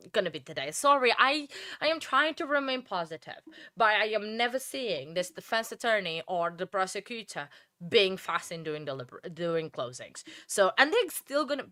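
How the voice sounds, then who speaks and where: A woman's voice: mid-range voice, big pitch swings, unhurried, bright, forceful tone, no echo, clean studio sound. A woman with a Portuguese accent hosting a true crime livestream, chatting loosely with viewers, full of fillers and restarts. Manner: rambling, chatty, many fillers and restarts, greets chat by name. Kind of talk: casual livestream chat with viewers.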